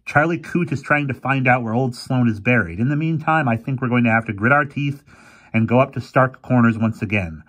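Grumbling old professor for a storybook reading, man voicing, husky and gruff. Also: mid-range voice, brisk, a bit bright, pitched above the performer's own voice, close to an everyday voice. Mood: determined. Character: gruff elderly male professor, crusty and self-blaming.

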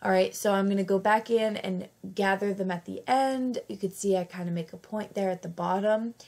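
A woman with a slight cold talks through her craft project in a chatty, easygoing voice-over. Her voice has a gravelly, creaky edge, and she often corrects herself mid-sentence. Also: mid-range voice, steady tone, normal speed, a little mellow. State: fighting off a cold. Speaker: craft channel host. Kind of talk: casual craft tutorial voice-over.

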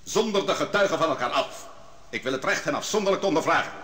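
Commanding young prophet, sharp, lively, fairly high voice, reverberant old radio recording. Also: male voice, quick pace. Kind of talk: 1960s Dutch radio drama.

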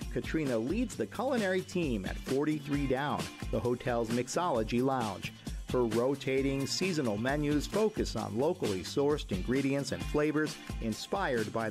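A man reading a scripted biographical voice-over as narrator. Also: medium pitch. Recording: music under the voice-over. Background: instrumental music.

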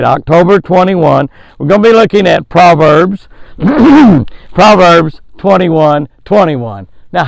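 A man's voice: on the high side, creaky, dry recording, poor audio. An older man with a Southern US accent, hosting a fishing show outdoors by a lake, reading a devotional and giving a fishing tip in a folksy, chuckling way. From American South. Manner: folksy, chuckling, drawn-out emphasis.

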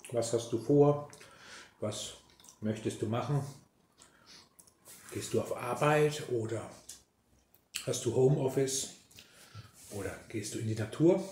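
A man chatting casually to the listener in an ASMR style, unhurried and pausing mid-sentence, indoors at a breakfast table. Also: medium pitch, warm tone. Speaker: host. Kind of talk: casual ASMR role-play chat to the listener. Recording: roomy, echoey sound with faint noise. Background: clinking dishes and clicks.

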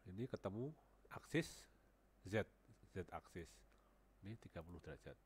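Man, very deep voice, stuffy with a cold, casual software walkthrough, repeats numbers aloud, faint hiss behind. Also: very animated, moderate pace. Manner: thinking aloud, repeats numbers while typing them. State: has a cold. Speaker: CAD tutorial instructor. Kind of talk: screen-recorded software tutorial. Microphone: headset.

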